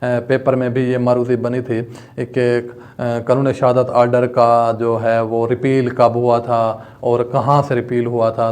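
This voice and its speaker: A man's voice: medium pitch, darkish tone. Male online law lecturer, Pakistani accent, mid-range voice with little melody, step-by-step teaching. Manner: addresses students directly, explains step by step. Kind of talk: instructional lecture mixing in English legal terms.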